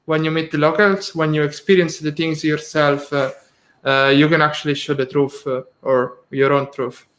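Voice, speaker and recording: A slightly higher-voiced man hosts a casual live stream interview. He talks in an easy, chatty way, laughs often and fills his pauses with "uh". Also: a bit bright.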